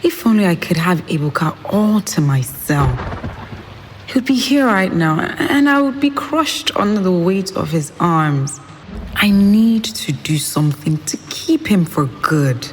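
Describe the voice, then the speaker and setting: Plotting lovesick girl, slow and drawn out, echoey with some music behind. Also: on the low side, expressive. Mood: scheming, longing. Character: scheming, lovestruck young woman plotting alone. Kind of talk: audio drama monologue. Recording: long reverb with music underneath.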